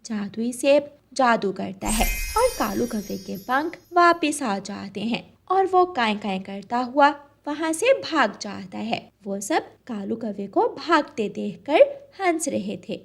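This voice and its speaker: A woman with a high voice narrates a cartoon tale. Her delivery is scripted storytelling, linking the scenes as narrator. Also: animated, takes it slowly, gravelly, hardly any pauses.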